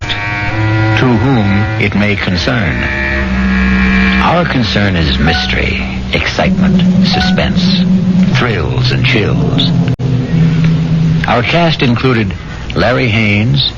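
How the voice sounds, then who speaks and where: A man hosting a 1970s mystery radio series, narrating in a measured, theatrical, dramatic style. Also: lowish voice, lively intonation. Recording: dull 1970s radio recording, loud music behind.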